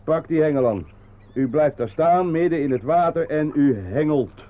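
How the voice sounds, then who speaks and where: Droll gentleman suitor, animated, highish voice actor. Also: normal speed, close to an everyday voice. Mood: bossy. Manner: mock-poetic, droll, bossy. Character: smooth-talking, callous, unheroic gentleman suitor. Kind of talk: comic radio play acting. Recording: tinny old radio play recording, faint noise.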